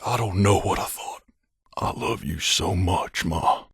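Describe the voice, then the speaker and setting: An actor playing a wry, gruff mercenary companion in a video game, speaking in a conversational, grumbling way. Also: male voice, sits low, measured pace, fairly bright tone, full character voice. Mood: anguished pleading. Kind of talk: game character voice lines.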